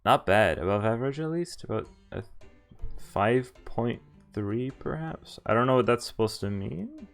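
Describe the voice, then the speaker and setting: A man's voice: medium pitch, sing-song, measured pace, vocal fry. A man streaming a visual novel, reading its dialogue aloud and commenting casually. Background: game music.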